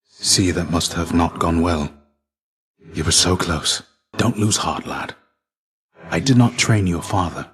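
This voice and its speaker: A man acts out a fallen Greek hero turned loyal mentor in a video game. His voice sits low, and he speaks softly in measured, formal, old-fashioned phrases. He carries a gentle melancholy and an intimate, confiding manner. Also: close to an everyday voice. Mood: concerned, encouraging. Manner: soft-spoken, formal, archaic phrasing.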